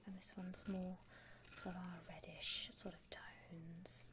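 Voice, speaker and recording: Over clear background noise, a woman whispers very slowly, close to the mic, in a breathy ASMR voice. She plays an accommodating shop assistant, gentle and reassuring, with a slightly low pitch for a woman. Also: flat delivery. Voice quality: breathy, mostly unvoiced whisper. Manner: gentle, hesitant, reassuring salesperson patter. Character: polite, accommodating female coffin shop assistant. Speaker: ASMR roleplayer playing a coffin shop saleswoman. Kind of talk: whispered ASMR customer-service roleplay.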